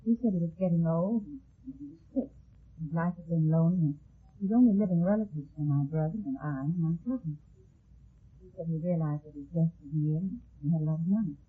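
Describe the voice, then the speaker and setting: An actress playing an anxious young heiress in a 1950s radio detective drama, with breathless, stammering, melodramatic delivery. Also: female voice, low-pitched, brisk, breathy edge. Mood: earnest, uneasy. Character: anxious young heiress suspected of murder, pleading. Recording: muffled, thin vintage 1950s radio recording.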